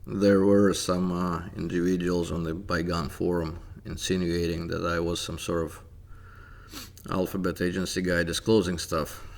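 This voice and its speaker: A middle-aged man with a Russian accent, talking through his own history research in a measured, deliberate way with "uh" pauses. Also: sits low, gravelly. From Soviet Union. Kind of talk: narrated audio commentary.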